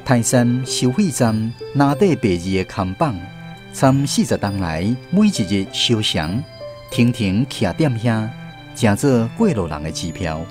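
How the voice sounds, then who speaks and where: A man's voice: fairly low pitch, sing-song, unhurried, music in the background. A man with a Taiwanese accent narrating a scripted literary radio drama as a storyteller.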